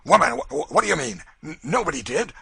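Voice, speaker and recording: A man voicing a brutal, frightened plantation master who blusters, curses and stammers loudly. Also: mid-range voice, lively intonation, moderate pace, creaky, breathy edge, dry recording, voice pushed up, exaggerated voice. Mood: blustering, scared. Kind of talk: audiobook character voice.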